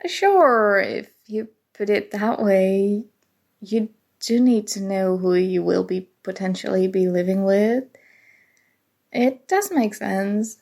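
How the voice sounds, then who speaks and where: Woman acting an awkward, creaky-voiced nerdy college girl. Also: mid-range voice, a little mellow. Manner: flustered, halting, self-deprecating, rambling. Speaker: ASMR roleplay performer. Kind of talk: ASMR roleplay, acted casual conversation.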